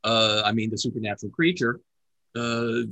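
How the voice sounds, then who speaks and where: A man's voice: on the low side, normal speed, no echo. A man co-hosting a podcast, asking a guest questions in a joking, casual way. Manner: joking, lively questions with fillers. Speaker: podcast co-host.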